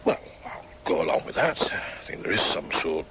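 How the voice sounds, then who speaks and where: Radio drama: plain-spoken Northern English father, middle-aged, halting delivery, bright tone, lively swings in pitch. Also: slight background noise, full character voice. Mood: tentative, agreeable. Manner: halting, awkward, hesitant. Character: hesitant, plain-spoken middle-aged father meeting his lost son.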